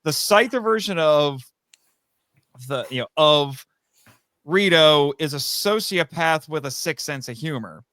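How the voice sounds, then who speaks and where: A man speaking as a podcast guest in an animated, casual discussion, wandering into tangents with stammering restarts and frequent chuckles. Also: on the high side, unhurried, no echo. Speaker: podcast guest, voice actor and writer.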